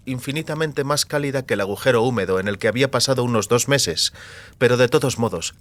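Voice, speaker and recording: Male audiobook narrator, mid-pitched. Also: fairly bright tone. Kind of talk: scripted narration of a fantasy novel.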